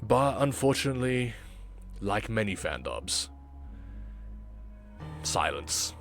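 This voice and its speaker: A man with a fairly low voice tells his story as a reflective vlogger. His pitch swings widely, while his pace is unhurried, with dramatic pauses and emphatic repeats. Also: very animated, soft background music. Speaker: video creator, former amateur voice actor. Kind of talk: scripted reflective vlog narration.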